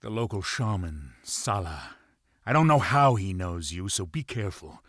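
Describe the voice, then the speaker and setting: A commanding pirate lord in a dramatic game cutscene. The voice is fairly low and recorded very dry. He is shrewd and measured, with quiet menace and full authority. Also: very animated, no room sound, exaggerated voice. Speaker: video game character giving mission briefings.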